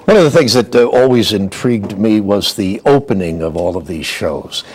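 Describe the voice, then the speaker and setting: A middle-aged or older man hosting a relaxed, conversational interview. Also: fairly low pitch, normal speed. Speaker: interviewer, show host.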